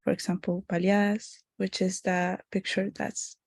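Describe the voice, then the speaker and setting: A woman's voice: medium pitch, little pitch movement, measured pace, a little mellow, no room sound. A young woman from Honduras giving a class presentation, hesitant, with fillers and small laughs. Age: young adult. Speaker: student presenter.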